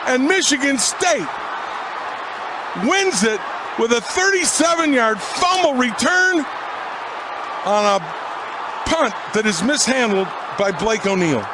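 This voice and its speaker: Shouting high-voiced male announcer. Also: big pitch swings, slow, pauses often. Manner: shouting in disbelief. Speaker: play-by-play announcer. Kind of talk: radio football commentary. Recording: broadcast with crowd noise.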